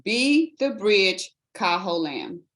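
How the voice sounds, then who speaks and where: A woman's voice: medium pitch, very animated, takes it slowly, a bit bright. An older woman leading a speaking practice on a video call, with playful, teasing chatter. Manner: playful, teasing, laughs often. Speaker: speaking coach running the meeting. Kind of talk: lively, teasing meeting chat. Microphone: video call webcam.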